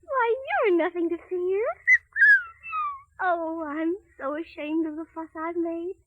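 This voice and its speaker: Casting: timid storybook princess, extremely high, lilting girlish voice, sweet and innocent, old radio drama on a tinny recording. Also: slow pace, very noisy. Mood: tender, relieved. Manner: sweet, girlish, wide-eyed. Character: innocent, gentle, timid young fairy-tale princess. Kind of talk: 1930s radio drama acting. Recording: tinny 1930s radio recording, loud music.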